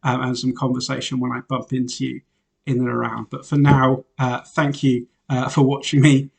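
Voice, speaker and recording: Chatty Welsh-born priest. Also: male voice, medium pitch, normal speed, vocal fry. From Wales, raised in Northamptonshire, England. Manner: relaxed and chatty, many "uh" fillers, light laughs. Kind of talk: informal self-introduction to parishioners.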